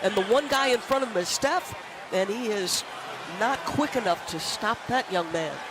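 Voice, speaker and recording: Very high-pitched male color commentator in heavy noise. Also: lively intonation, moderate pace. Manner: explanatory, emphatic, rhetorical questions. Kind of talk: live sports analysis. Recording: very noisy arena broadcast relayed on stream.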